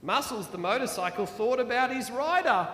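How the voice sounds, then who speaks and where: A man reading a children's parable aloud to listeners in an animated, dramatic storytelling manner. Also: very high-pitched, moderate pace. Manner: dramatic, expressive character voices. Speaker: author reading his own children's parable. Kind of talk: animated storytelling to a church audience. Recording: echoey hall, long reverb.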